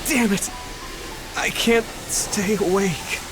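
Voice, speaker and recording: An actor playing an earnest, polite young hero in a video game. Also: male voice, sits high, takes it slowly, over music. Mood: frustrated. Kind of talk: English video game cutscene dub.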